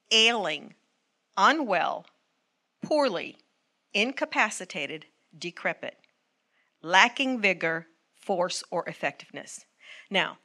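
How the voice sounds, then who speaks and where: A woman's voice: medium pitch, pushed and bright. A woman preaching a teaching sermon, earnest and often asking the congregation to respond.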